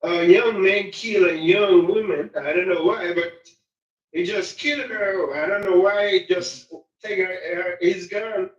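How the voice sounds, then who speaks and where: A man from Haiti with a Haitian French accent, a French speaker talking haltingly in English and searching for words. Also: sits high, steady tone, roomy sound, distant mic, dull audio. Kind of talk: casual conversation.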